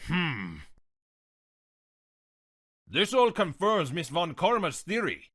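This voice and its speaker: A man's voice: a little high, wildly swooping pitch, slow pace, a bit bright, no echo, studio quality, heavily acted. A man playing a solemn, easily baffled judge presiding in a courtroom game fandub. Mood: pondering. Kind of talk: anime game fandub acting.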